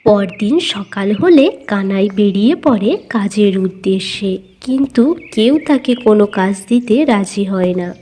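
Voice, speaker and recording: A woman's voice: medium pitch, moderate pace, hardly any pauses, warm tone. A woman giving scripted narration for a cartoon moral tale, as the storyteller.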